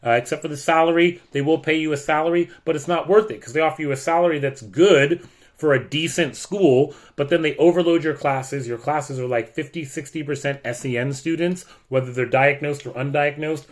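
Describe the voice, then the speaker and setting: A middle-aged American man, a teacher, talking bluntly and with frustration in a loud voice as he warns people away from a job. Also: fairly high pitch, fairly quick. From United States. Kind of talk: warning vlog rant.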